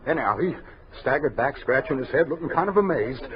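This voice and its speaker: An actor playing an earnest, flustered frontier soldier telling a tall tale in a folksy, excitable radio drama manner. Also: male voice, mid-range voice, a little fast, a loud crowd, natural voice. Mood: excited yarn-spinning. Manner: folksy, apologetic, excitable storytelling. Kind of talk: 1960s radio drama acting. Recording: dull vintage radio recording.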